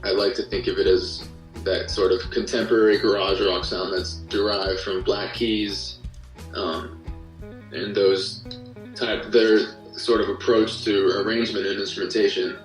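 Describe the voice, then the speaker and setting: A man with a fairly low voice talks casually as an interview guest, explaining things reflectively. The recording is roomy and a bit off the mic, with music behind him. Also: flat delivery, unhurried. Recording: roomy, slightly distant-sounding, music underneath.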